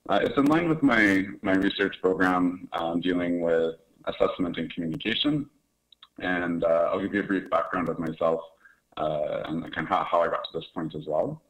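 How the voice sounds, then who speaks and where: Deep male presenter from Canada on a webcam call, talking through slides with many fillers. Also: lively intonation, moderate pace, low-quality recording. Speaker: academic presenter. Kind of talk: research presentation over video call. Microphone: webcam on video call.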